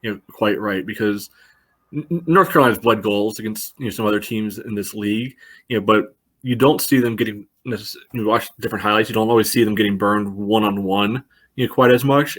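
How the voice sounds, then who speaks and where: A man co-hosting a podcast, casually analysing sport in halting speech peppered with "you know". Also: on the low side, fairly bright tone. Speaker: podcast co-host.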